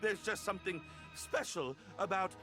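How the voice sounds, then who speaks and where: A man's voice: high-pitched, moderate pace, sharp tone, soft background music. An actor playing a shy, awkward young male prisoner in a video game, overly polite, hesitant and stammering. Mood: flustered.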